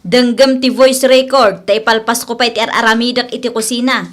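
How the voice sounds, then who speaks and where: A woman's voice: fairly high pitch, little pitch movement, fairly quick, natural voice. An actress playing a frank, chiding female friend who gives advice in a radio drama, matter-of-fact in manner. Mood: chiding, knowing.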